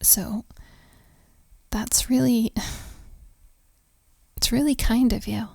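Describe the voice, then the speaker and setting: Girl acting as flustered shy hotel maid, audio roleplay, soft mellow voice, super slow n hesitant, close dry sound, kinda giggly. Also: a little high, steady tone, no echo, exaggerated voice. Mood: touched, shy. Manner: hesitant, halting, giggly, softly breathy. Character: flustered, self-deprecating young hotel maid warming to a stranger. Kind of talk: intimate audio roleplay, one-sided conversation.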